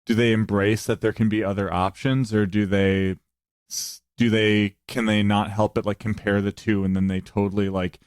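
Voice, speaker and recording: A man's voice: little pitch movement, normal speed, smooth, dry recording, studio quality. A man appearing as a guest musician on a podcast, chatting casually and enthusiastically, with many fillers. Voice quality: low voice. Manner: enthusiastic, hesitant, frequent "like" and "um". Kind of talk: casual conversation.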